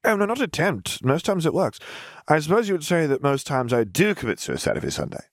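A man's voice: on the high side, big pitch swings, fast talker, no room sound, studio quality, voice pushed up. A man voicing a chatty, blunt, oddly morbid little girl in an audiobook. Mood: cheerfully morbid. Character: odd, matter-of-fact, blithely morbid little girl. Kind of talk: audiobook character voice.

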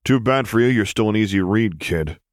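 A man voicing a cocky middle-aged smuggler with a gruff, sardonic, grumbling way of talking in an audiobook story. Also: lowish voice, animated, normal speed, dry recording, clean studio sound, close to an everyday voice. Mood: smug.

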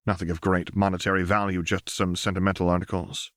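A man's voice: low voice, level tone, a bit bright, no echo, studio quality. Man voicing a poised, formal female operative. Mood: formal, determined. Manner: polite, formal, measured diction.

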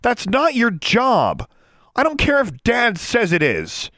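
A man's voice: extremely high pitch, huge pitch swings, slow, pushed and bright, no echo, clean studio sound, pitched above the performer's own voice, heavily acted. A man voicing a hot-tempered, defiant twelve-year-old girl in an audiobook, snapping out indignant retorts. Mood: furious yelling. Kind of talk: audiobook character voice.

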